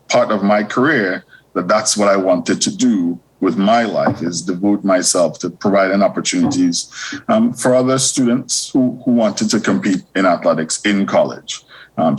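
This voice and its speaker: Deep husky guy from trinidad, university admin in an interview, measured, lots of ums. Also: poor audio. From Trinidad and Tobago. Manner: measured, many fillers and restarts. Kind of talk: interview answers.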